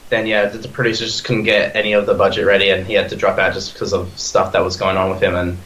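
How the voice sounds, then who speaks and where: A man's voice: sits low, completely flat delivery, fast, a bit bright, roomy sound, slight background noise, low-quality recording. A young man in his twenties with an Australian accent, talking as a podcast guest in a rambling, eager way full of fillers and restarts. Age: 20s. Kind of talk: casual chat.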